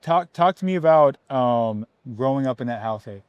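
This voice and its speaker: Male podcast host, mid-pitched and dry. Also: lively intonation, normal speed, clean tone, dry recording, dull audio. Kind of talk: casual interview chat.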